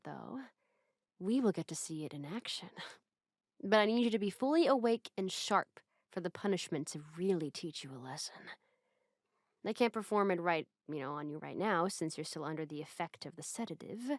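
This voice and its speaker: A woman voicing an obsessive, sweetly doting yet menacing captor in an ASMR roleplay, soft and breathy, often slipping toward a whisper with tender, coaxing hesitations. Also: on the low side, lively intonation, normal speed, no room sound, studio quality. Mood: softly menacing.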